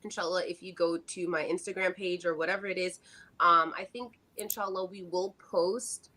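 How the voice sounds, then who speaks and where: A woman's voice: lowish voice, steady tone, moderate pace, pushed and bright. A middle-aged woman co-hosting a casual livestream chat, speaking in an earnest, reflective way. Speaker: co-host.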